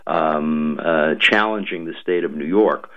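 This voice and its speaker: A man, a lawmaker guest on a radio show, speaking slowly with many ums and uhs. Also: very deep voice. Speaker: state legislator guest. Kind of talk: radio interview, policy talk. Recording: muffled lo-fi radio audio.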